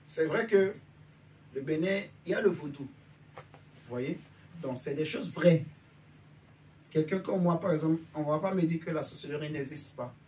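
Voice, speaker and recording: A man's voice: on the high side, moderate pace, pauses often, a little mellow. A man from Benin preaching informally to a congregation, talking conversationally and often checking that his listeners follow him. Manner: conversational, asks listeners for agreement. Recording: distant mic with faint background noise.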